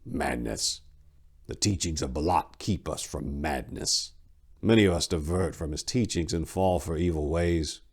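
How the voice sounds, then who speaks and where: A battle-hardened fantasy warrior monk voiced by a male narrator speaks from a low register. He is grim and terse, giving blunt, matter-of-fact answers as in a dramatic audiobook. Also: measured pace, gravelly, breathy edge, full character voice. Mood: grave.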